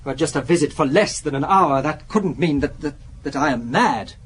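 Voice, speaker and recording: Nervous male shop clerk, husky, muddled, stumbling over his words, radio drama. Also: fairly high pitch, animated, normal speed. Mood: agitated, muddled. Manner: stammering, hesitant, agitated. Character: nervous, apologetic, muddled toy-shop clerk insisting he is sane.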